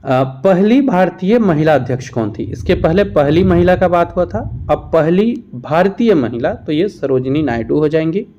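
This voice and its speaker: A man gives an exam-prep lesson, posing questions and then explaining each answer. His voice sits a little high for a man and has a soft, mellow tone. The recording is somewhat dull and lo-fi. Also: lively intonation, normal speed, hardly any pauses. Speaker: teacher, exam-prep instructor. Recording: dull, muffled lo-fi sound.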